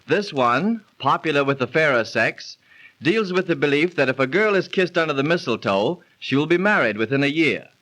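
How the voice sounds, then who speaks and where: A man's voice: on the high side, lively intonation, normal speed, a bit bright, poor audio. A man delivering a scripted announcement in 1930s radio style on an old recording.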